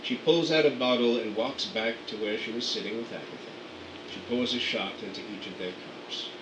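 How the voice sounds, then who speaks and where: A man narrating radio play scene directions in a steady, matter-of-fact way. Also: medium pitch, normal speed. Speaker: narrator reading stage directions. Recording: roomy, distant mic, air conditioning hum.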